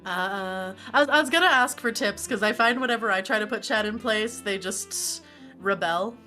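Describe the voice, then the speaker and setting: Bright giggly woman on a stream. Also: mid-range voice, moderate pace, soft background music. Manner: giggly, self-deprecating, easygoing. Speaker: guest streamer. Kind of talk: casual stream chat.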